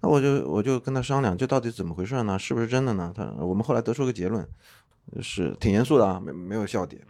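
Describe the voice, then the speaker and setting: A man's voice: lowish voice, lively intonation, quick pace, darkish tone. Wry, blunt male guest telling stories. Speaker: podcast guest, published author. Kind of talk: casual chat.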